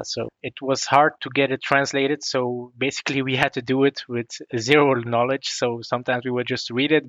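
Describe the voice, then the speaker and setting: A young man from Belgium whose first languages were French and Arabic, telling his own story in a measured, reflective way. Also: mid-range voice, fairly bright tone, no room sound, highs cut off. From Belgium (West Flanders). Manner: measured, reflective, with small restarts. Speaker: guest sharing his story. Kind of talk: personal storytelling.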